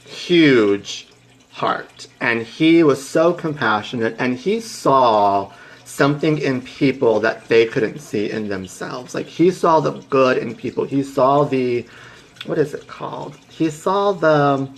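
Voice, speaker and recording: A young man in his twenties talks to his live viewers in a loose, playful way, his voice sitting at a middle pitch. He rambles and restarts, then leaps up high when he gets excited. He keeps stopping to greet viewers by name. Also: takes it slowly, low-quality recording. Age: 20s. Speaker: livestream host. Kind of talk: casual motivational storytelling to live viewers.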